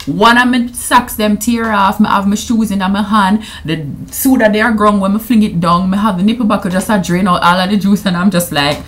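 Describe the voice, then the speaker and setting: A woman's voice: medium pitch, fairly even intonation, a little fast, hardly any pauses. A woman in her thirties with a Jamaican accent, chatting to camera in a playful vlog and slipping into patois. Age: 30s. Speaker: vlogger. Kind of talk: casual anniversary vlog to camera.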